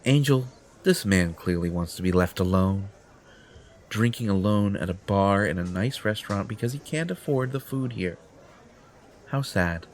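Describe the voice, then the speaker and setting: A man's voice: lowish voice, animated, moderate pace, a little hiss or hum, close to an everyday voice. A man playing a smug, possessive, entitled superhero in an audio roleplay, pompous and condescending in manner. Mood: indignant, condescending. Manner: pompous, self-satisfied, condescending. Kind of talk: audio roleplay acting addressed to the listener.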